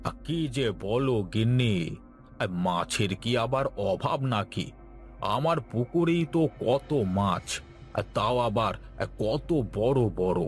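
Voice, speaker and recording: A male actor plays a lazy village landowner from a cartoon. He blusters and exclaims, stretching out his interjections and getting flustered easily. Music plays along behind his mid-pitched voice. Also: lively intonation, takes it slowly. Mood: indignant, complacent. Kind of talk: Bengali cartoon voice acting.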